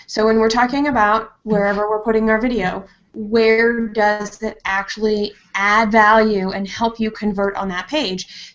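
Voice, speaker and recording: A woman in her forties giving informal practical tips as a panelist over a lo-fi video call. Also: mid-range voice. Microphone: earbud headset on a video call. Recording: dull lo-fi video call audio.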